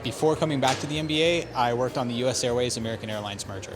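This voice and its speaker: A man from New Mexico, an MBA student, giving crisp interview answers that get to the point. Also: medium pitch, rapid-fire, crowd noise.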